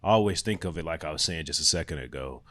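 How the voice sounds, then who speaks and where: A young man co-hosting a podcast, making his point in a calm, measured way. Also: a bass voice. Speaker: podcast co-host. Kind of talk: casual chat.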